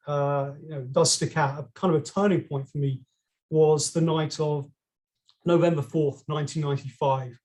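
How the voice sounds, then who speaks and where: A man's voice: fairly high pitch, darkish tone. A middle-aged man from London with a British accent, a podcast guest telling his story with frequent ums and restarts. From England. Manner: hesitant, many ums and restarts. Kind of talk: conversational storytelling.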